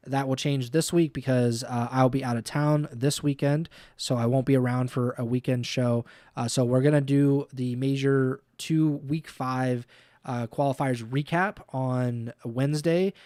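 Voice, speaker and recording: A man co-hosting a livestreamed podcast in casual chat, easygoing and filling pauses with uh and um. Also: mid-range voice, a little mellow, no room sound, studio quality. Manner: easygoing, many uh and um fillers. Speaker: podcast co-host.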